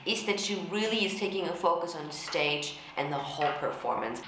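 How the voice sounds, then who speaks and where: A young woman vocal coach, a native German speaker, explaining herself in casual commentary to the camera with lively gestures. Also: lowish voice, moderate pace, background noise. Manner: animated, gestures a lot, explanatory. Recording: very echoey room.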